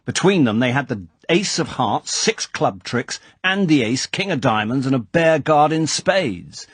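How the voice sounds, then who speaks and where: A man's voice: medium pitch, fairly bright tone, husky, dry recording, pitched above the performer's own voice. A man voicing an indignant older club chairman who bursts out in angry, worried exclamations. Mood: furious. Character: blustering older male club chairman, easily angered.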